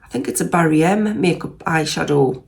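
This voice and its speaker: Croaky Geordie woman in her sixties. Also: sits low, normal speed. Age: 60s. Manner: chatty, rambling, lots of ums and restarts. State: croaky from a cold. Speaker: beauty vlogger. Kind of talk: casual makeup review vlog.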